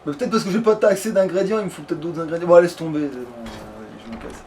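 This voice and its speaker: A man's voice: a little high, fast, warm tone, distant mic, slight background noise. A man chatting casually through a video game let's play, grumbling and swearing in crude slang. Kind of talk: casual gameplay chat.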